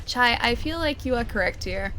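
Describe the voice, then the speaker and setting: A woman's voice: a little high, animated, moderate pace, sharp tone. A woman with an Australian accent chatting casually while she plays a video game, giggling and joking at herself. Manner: chatty, giggly, self-mocking. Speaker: streamer. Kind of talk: casual gameplay commentary. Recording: noisy game stream audio.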